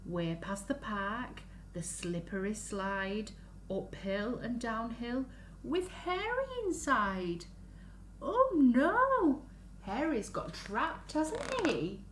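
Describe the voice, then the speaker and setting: A woman reads a picture book to little children in a slow, sing-song voice that rises and dips widely. She often turns to the listeners with simple questions. The room is echoey, with faint noise behind her. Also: fairly high pitch, big pitch swings, fairly bright tone. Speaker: children's story reader. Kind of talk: picture-book read-aloud for young children. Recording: roomy echo, faint background noise.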